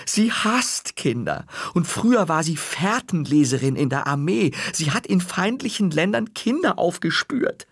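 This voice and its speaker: A dramatic ten-year-old boy insisting on his story, voiced by a man reading aloud. His voice swings widely and has a raspy edge. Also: very animated, a little slow, hardly any pauses, no room sound, studio quality, exaggerated voice. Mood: insistent. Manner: high, insistent kid voice.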